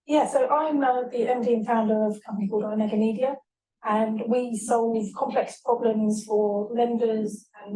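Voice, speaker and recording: A woman with a fairly high, mellow voice explains her business in a businesslike way, stopping often to restart. She is heard from a distance. The room echoes. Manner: businesslike, many ums and restarts. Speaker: tech founder on a panel. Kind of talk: panel talk. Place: event hall.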